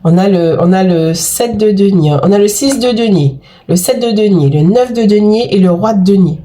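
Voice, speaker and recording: A woman's voice: medium pitch, normal speed, mellow. A woman with a cold giving a chatty, effusive tarot card reading to her viewers, full of exclamations and fillers. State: has a cold. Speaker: tarot and oracle card reader.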